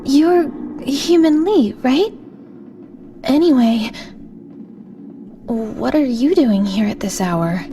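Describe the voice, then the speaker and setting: High-voiced teasing teenage schoolgirl. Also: animated, slow pace, long pauses, dark tone, slight background noise, full character voice. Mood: friendly, curious. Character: teenage schoolgirl, teasing, chatty, a little secretive. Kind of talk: video game voice acting.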